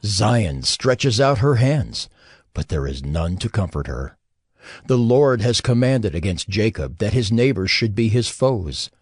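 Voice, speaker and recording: Slow, deep-toned man narrating solemn scripture. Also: big pitch swings, husky, no echo, studio quality. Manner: grave, measured, dramatic phrasing. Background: music behind the voice.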